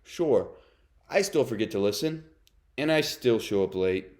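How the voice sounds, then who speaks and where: A man's voice: mid-range voice, animated, a little slow, a little mellow, close to an everyday voice. A man reading a children's storybook as a brooding, self-confessed bad sunflower seed, in a dramatic, confessional way. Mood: hopeful. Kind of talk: children's read-aloud narration.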